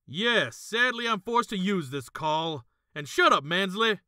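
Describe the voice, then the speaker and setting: A man voicing an eccentric, excitable old gentleman in a comedy cartoon, florid and over-dramatic. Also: sits high, unhurried, no echo, clean studio sound, full character voice. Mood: irritated.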